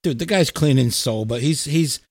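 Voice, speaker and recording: A man's voice: medium pitch, normal speed, dry recording, studio quality. A middle-aged man hosting a casual chat podcast, talking in a blunt, emphatic, opinionated way with plenty of swearing. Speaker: podcast host.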